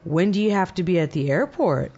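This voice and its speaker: A woman's voice: fairly low pitch, animated, fairly quick, mellow, breathy edge, highs cut off. A woman playing a helpful traveller's companion in a scripted lesson dialogue. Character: helpful woman planning an airport trip.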